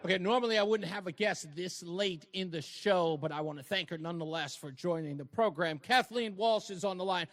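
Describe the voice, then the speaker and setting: A man hosting a radio talk show, speaking emphatically and conversationally, with quick interjections of agreement. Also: sits high, a bit bright, no echo.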